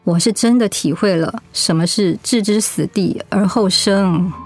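A woman's voice: mid-range voice, unhurried, darkish tone, soft background music, lightly acted. A woman acting a proud, stubborn middle-aged wife and mother in a radio drama, blunt and sardonic. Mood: bitter, resigned.

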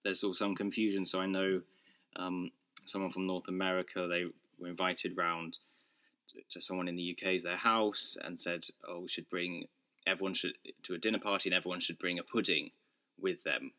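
Podcast co-host: a young man with a low, bright voice, calm and explanatory. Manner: measured, explanatory, many restarts. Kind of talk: casual chat.

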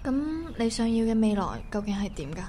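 A woman acting as a composed, probing and quietly wounded first love in a Cantonese radio drama. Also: a little high, big pitch swings, fairly quick, a little hiss or hum. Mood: curious, probing. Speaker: voice actor playing the first love. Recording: music under the dialogue.

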